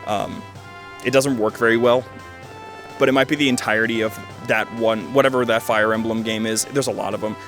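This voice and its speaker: A man's voice: lowish voice, a little fast. Young man reviewing games over music. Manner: conversational, loosely rambling, with wry deadpan asides. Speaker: gaming video host. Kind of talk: casual game review commentary. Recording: loud music behind the voice.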